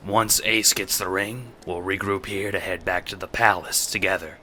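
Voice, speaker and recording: A man voicing a smooth male mob schemer who speaks slowly and deliberately, making every word hang. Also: low-pitched, fairly even intonation, pushed and bright, a little hiss or hum. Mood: scheming. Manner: slow, measured, hypnotic.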